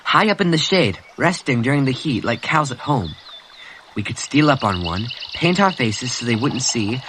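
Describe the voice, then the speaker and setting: A man voicing a bossy, eager teenage boy who leads the hunters, speaking in an excited, raised voice. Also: medium pitch, moderate pace, a little hiss or hum.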